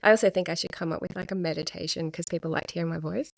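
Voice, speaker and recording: A middle-aged woman, talking casually and reflectively as a podcast guest, pausing often. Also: lowish voice, vocal fry, no echo. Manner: soft-spoken, reflective, with many pauses and fillers. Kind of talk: casual chat.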